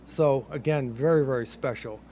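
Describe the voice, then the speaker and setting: An older man with a Boston accent giving a measured, reflective ceremony speech from a podium, with a light touch of humor. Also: mid-range voice, dark tone, a little hiss or hum. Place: ceremony in a building under construction.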